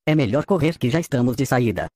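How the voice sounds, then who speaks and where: A man's voice: mid-range voice, animated, fast talker, background noise, lightly acted. A man with a Brazilian accent playing a mocking, laid-back cartoon henchman with slangy, teasing delivery. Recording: roomy echo, faint music.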